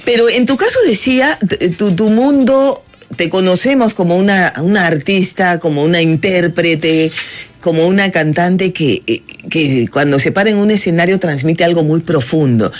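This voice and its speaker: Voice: mid-range voice, normal speed. An interview host, speaking effusively and admiringly to a guest. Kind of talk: conversational interview.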